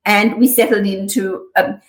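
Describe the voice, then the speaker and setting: A woman's voice: mid-range voice, steady tone, moderate pace. A middle-aged woman from Sri Lanka, talking as a guest over a video call from her office, chatty with frequent um fillers and laughs. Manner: warm, chatty, laughs often, many um fillers. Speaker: guest, community organisation CEO. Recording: echoey room sound.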